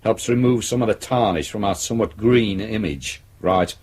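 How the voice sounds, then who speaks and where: A man's voice: lowish voice, normal speed, a little mellow, husky. A man acting in a radio drama as a domineering senior police detective, brusque and authoritative. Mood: brisk, businesslike.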